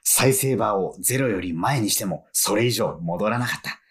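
An actor playing an excitable male fan who lives alone, narrating and muttering to himself in a flustered way in a radio drama. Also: on the low side, normal speed. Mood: narrating, bewildered. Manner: animated, self-muttering, easily flustered. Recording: faint music behind.

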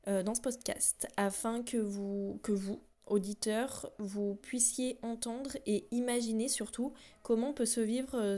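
A woman's voice: fairly high pitch, dark tone. A young woman from France hosting her own podcast, talking through her thoughts with many fillers and restarts. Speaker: podcast host. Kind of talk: solo podcast talk.

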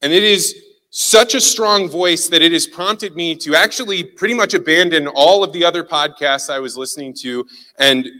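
A Midwestern American man from Missouri, speaking as a guest to a hall full of people. His voice is sharp and bright, a little high for a man, and he tells his stories in an animated, self-deprecating way. A long reverb trails after him through the large room. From St. Louis, Missouri. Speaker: guest speaker, communication consultant. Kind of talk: storytelling keynote talk. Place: large hall with a live audience.

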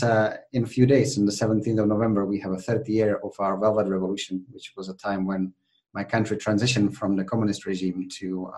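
A man's voice: fairly low pitch, a little mellow, low-quality recording. A man from the Czech Republic with a Czech accent, a podcast guest chatting animatedly with many fillers and restarts. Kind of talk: conversational interview.

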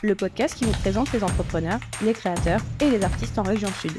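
A woman's voice: medium pitch, fast. A woman reading a scripted podcast introduction as the announcer. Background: prominent music.

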